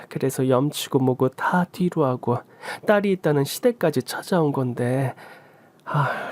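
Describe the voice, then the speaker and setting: A man voicing a calm, remorseful middle-aged birth mother who speaks softly and apologetically in a story drama. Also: mid-range voice, natural voice. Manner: soft, apologetic. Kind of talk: story radio drama reading.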